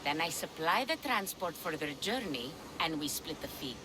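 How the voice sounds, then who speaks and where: A woman's voice: deep voice, very animated, moderate pace, noisy background. An actress playing a blunt, sardonic, foul-mouthed female pirate ship captain in a video game cutscene. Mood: grumbling, sardonic.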